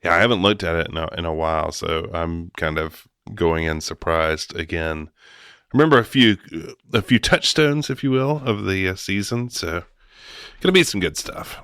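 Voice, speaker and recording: A man's voice: low voice, normal speed, a bit bright, smooth, dry recording, studio quality. A man hosting a podcast in a casual, chatty style. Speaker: podcast host.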